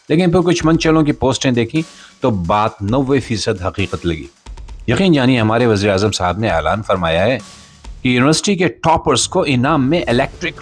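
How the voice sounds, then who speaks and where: A man with a Pakistani accent hosting a satirical news show, speaking to the audience in a sarcastic, theatrical monologue. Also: mid-range voice.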